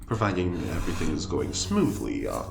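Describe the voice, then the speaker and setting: Low, creaky, teasing guide. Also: huge pitch swings, a little fast, noisy background, heavily acted. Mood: eager, teasing. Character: eerily calm, eager, teasing guide through dark spaceship. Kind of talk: audio drama acting. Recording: echoey room, music behind.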